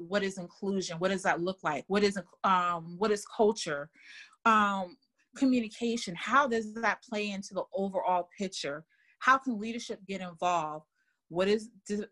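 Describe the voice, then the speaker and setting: A woman who grew up in Germany talks as a guest on a podcast interview. Her pitch sits in a middling range for a woman. She speaks earnestly and often repeats words while she gathers her thoughts. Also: a bit bright. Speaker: author and guest.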